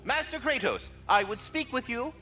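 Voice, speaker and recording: A man's voice: very high-pitched, lively intonation, moderate pace, bright, forceful tone, faint music behind, heavily acted. A man voicing a polite, prim giant squirrel who tends a world tree, speaking in formal, wordy game dialogue. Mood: helpful, eager. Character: courteous, fussy giant talking squirrel, keeper of the world tree. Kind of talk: theatrical video game character dialogue.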